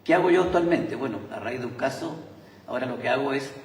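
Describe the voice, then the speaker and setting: A man's voice: mid-range voice, fast talker, vocal fry, slight background noise. A man with a Chilean Spanish accent giving a surgical talk at a conference, hesitant, with many fillers and restarts. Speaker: surgeon presenting at a conference. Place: large lecture hall. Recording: echoey hall from far off.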